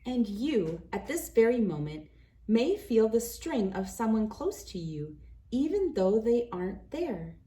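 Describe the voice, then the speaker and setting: A woman's voice: on the high side, sing-song, measured pace, mellow. Woman reading a children's story aloud. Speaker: read-aloud host. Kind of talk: children's picture book read-aloud.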